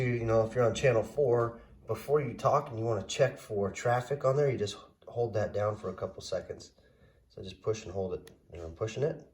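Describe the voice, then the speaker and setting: A man giving a casual, plainspoken hands-on product demonstration in a folksy way. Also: mid-range voice. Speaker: product reviewer. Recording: loud background noise, vehicle ambience.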